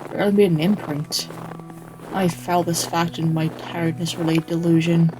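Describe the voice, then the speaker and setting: A woman's voice: on the low side, background noise, close to an everyday voice. A woman voicing a weary, reluctant companion who narrates a bleak trek in a comic dub, in a tired, awkward manner. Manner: tired, awkward, sighing understatement. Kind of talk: first-person scripted narration, comic dub. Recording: background music behind the voice.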